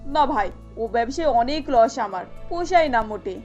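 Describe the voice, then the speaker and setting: A kindly older mother-in-law from a village cartoon, speaking in a fairly high voice. She is homely and motherly, with faint music behind her. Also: lively intonation, moderate pace, darkish tone, lo-fi sound. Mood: matter-of-fact. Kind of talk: cartoon character acting.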